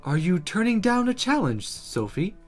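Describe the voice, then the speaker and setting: A woman voicing a confident, calm magic teacher who teases and flatters in a measured, encouraging way. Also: mid-range voice, faint music behind. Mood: teasing, assured.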